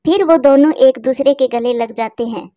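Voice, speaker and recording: High, mellow woman storyteller. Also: moderate pace, no echo. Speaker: narrator. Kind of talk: scripted children's story narration.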